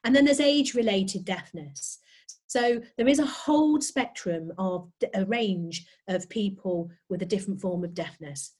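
A woman's voice: mid-range voice, normal speed, a little mellow. Chatty woman, middle-aged interpreter. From United Kingdom. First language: British Sign Language. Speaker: sign language interpreter. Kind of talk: podcast interview guest. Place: home, lockdown remote call.

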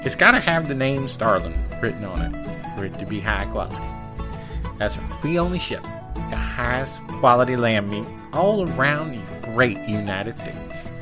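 Radio ad pitchman, man acting folksy farm seller: colloquial, emphatic, very animated pitch, music underneath. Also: lightly acted. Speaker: advertiser. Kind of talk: parody radio commercial. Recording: music behind the voice.

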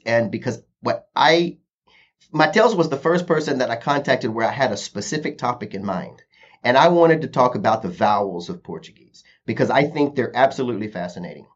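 A man's voice: mid-range voice, dull audio. Rambling male podcast host. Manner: rambling, with many fillers and restarts. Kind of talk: casual podcast chat.

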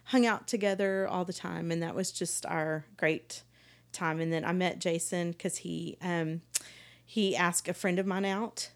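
Middle-aged woman, low-pitched voice. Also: steady tone, a little slow. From East Texas, United States. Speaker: podcast guest. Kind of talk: casual conversation, telling life story.

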